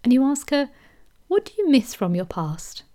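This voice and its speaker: A woman leading a guided meditation, speaking slowly and soothingly, sometimes dropping into a whisper. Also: sits high, very animated. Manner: slow soothing delivery with soft whispered phrases.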